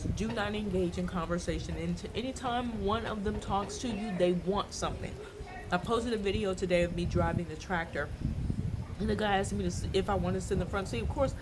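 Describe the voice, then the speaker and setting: An American woman giving casual travel advice to the camera in an informal, emphatic, advisory way. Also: medium pitch. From United States. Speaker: travel vlogger. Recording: clear background ambient noise.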